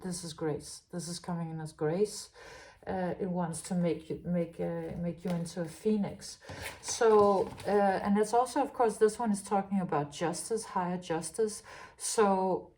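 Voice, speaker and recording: A woman from Denmark giving a tarot reading in a halting, musing way, with many restarts and fillers. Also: lowish voice, fairly even intonation, a little mellow. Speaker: tarot reader. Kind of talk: spontaneous spiritual tarot reading.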